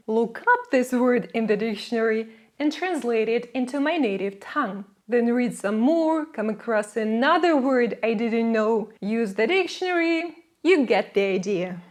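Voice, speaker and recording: A young woman whose first language is Russian, giving a scripted English lesson to camera with friendly, playful asides. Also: on the high side. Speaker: English teacher.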